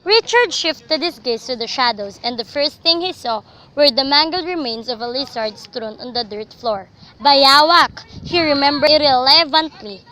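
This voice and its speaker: Young woman reading story narration for a radio play, high bright voice. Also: poor audio.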